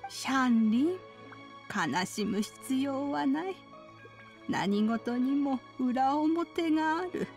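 A woman voicing a wise, gentle elderly woman in a Japanese game, speaking in a measured, old-fashioned elder manner. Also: high-pitched, animated, soft background music, exaggerated voice. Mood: gentle, reassuring.

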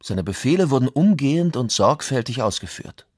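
Conquistador chronicler, mid register, documentary quotation read. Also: male voice, medium pitch, very animated, moderate pace, a little mellow, slightly rough, no echo, studio quality. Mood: measured recounting. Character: male Spanish conquistador chronicler recounting events. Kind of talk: dramatized reading of historical accounts.

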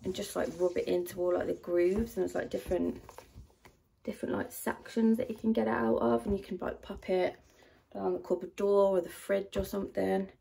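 A young woman chatting casually through a grocery haul in a rambling way, her voice low and a little rough from a dry throat. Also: steady tone, brisk, warm tone. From United Kingdom. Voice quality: low, gravelly voice. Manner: chatty and rambling, with many ums and likes. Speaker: vlogger. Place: at home.

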